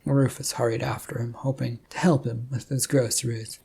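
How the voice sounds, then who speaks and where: Narrator for comic fiction: dark, raspy voice, reading scripted prose. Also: mid-range voice, flat delivery, normal speed. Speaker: story narrator.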